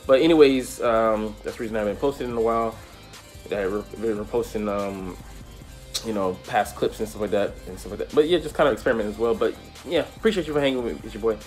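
A man's voice: fairly low pitch, fast talker, faint music behind. A young man chatting casually and with lots of slang as a reaction video host, recorded in a small room. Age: young adult. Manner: animated, slangy. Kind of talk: casual vlog reaction chat.

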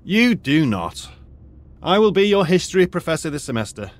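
A man's voice: fairly high pitch, very animated, normal speed. A man playing a dry British archaeologist. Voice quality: dry, wry and formal. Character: British retired archaeologist turned high school teacher, dry and wry. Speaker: history teacher. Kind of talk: audio drama acting.

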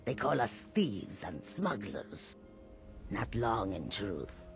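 An actor playing a courteous, weary cat-folk caravan merchant in a fantasy game, with measured formal speech. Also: male voice, on the low side, very animated, soft background music, full character voice. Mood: earnest. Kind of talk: fantasy video game dialogue.

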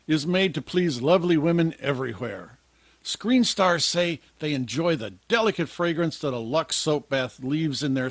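A male announcer in the polished style of 1950s radio advertising. His voice is fairly high, very lively and husky, recorded dry. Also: dry recording. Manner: polished, promotional.

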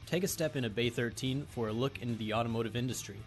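A man's voice: medium pitch, fast talker. A man reading a promo as a podcast host. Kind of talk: promo read. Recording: guitar music behind the voice.

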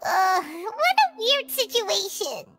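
A woman voicing a tiny, excitable fairy companion girl in a video game, squeaky and childlike, blunt and exclamatory. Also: slow pace, loud music behind the voice, full character voice. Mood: frustrated.

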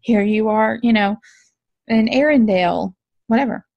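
A woman co-hosting a travel podcast over a video call, pitching ideas in an animated, laughing way. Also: moderate pace, gravelly, no echo, lo-fi sound. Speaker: podcast co-host. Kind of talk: casual chat. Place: home room, video call.

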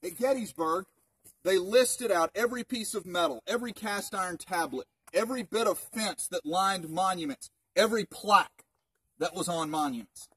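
Husky park ranger man talking outdoors. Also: sits high, normal speed, fairly bright tone, no room sound. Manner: conversational, rhetorical questions. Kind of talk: informal guided history talk to a group. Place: outdoors in open woods. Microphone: camera a few metres away. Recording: distant outdoor mic.

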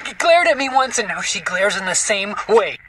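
A frightened, raving man in a horror audio drama. His voice is sharp and strained, breaking into agitated stammers. The recording is noisy and sounds away from the microphone. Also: high-pitched, sing-song, moderate pace, far from the mic, heavily acted. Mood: frantic, raving. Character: terrified, raving, weak-willed man named Edward.

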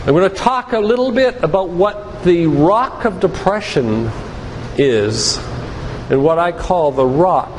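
An older man giving a sermon-like lecture with scripture readings, speaking in a deliberate, emphatic way with pauses. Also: high voice, expressive. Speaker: physician giving a church lecture. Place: large church room, live talk. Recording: echoey hall with background noise.

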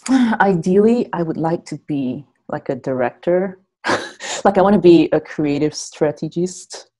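Low-voiced giggly woman on a video call. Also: unhurried. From Malaysia. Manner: relaxed, giggly, chatty. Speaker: guest content creator. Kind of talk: casual video call interview. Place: small bright room at home. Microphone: earphone mic on a video call.

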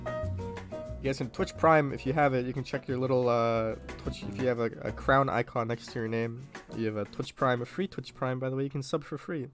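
A male livestream host casting an esports match in a loose, rambling style, happy to swear. His medium-pitched voice sounds strained from being sick, and faint music plays behind him. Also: moderate pace. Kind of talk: casual live match commentary and chat.

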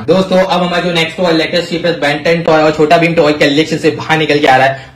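A young adult man with an Indian accent presenting toys to children in an excited, exclamatory, emphatic way. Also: on the high side. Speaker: toy review channel host. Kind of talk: excited toy showcase commentary for children.

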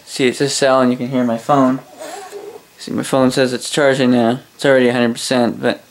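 A Canadian man casually demonstrating a gadget as a reviewer, halting, rambling and correcting himself as he goes. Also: mid-range voice, rough recording. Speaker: product reviewer. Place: small indoor room at a desk.

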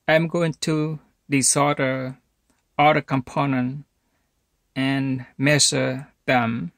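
A man presents a hands-on electronics demonstration at a very slow pace. His phrases are short and halting, with little melodic movement. The sound is dry, with almost no room in it. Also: medium pitch, gravelly, a bit bright, no room sound. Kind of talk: instructional demonstration narration.

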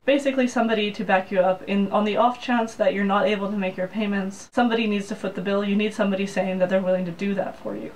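A woman's voice: medium pitch, steady tone, quick pace, hardly any pauses, darkish tone. A young woman vlogs from a small apartment room, laying things out in a plain, practical way. Her voice sits in an ordinary middle register. The camera mic is a little distant, and a faint hiss runs underneath. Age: young adult. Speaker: vlogger. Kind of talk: casual talk to camera. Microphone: camera mic, head-and-shoulders framing.

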